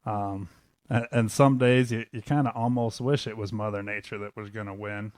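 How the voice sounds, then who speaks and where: A man speaking as a podcast guest in casual conversation, hesitant and pausing between short phrases. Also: lowish voice, fairly even intonation, a little mellow, no room sound.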